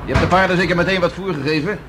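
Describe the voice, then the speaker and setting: An actor playing a gruff, proud, teasing beachcomber father in a 1960s radio drama. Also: sits high, very fast, close to an everyday voice. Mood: blustering, teasing. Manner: blustering, teasing. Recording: noisy 1969 radio play recording.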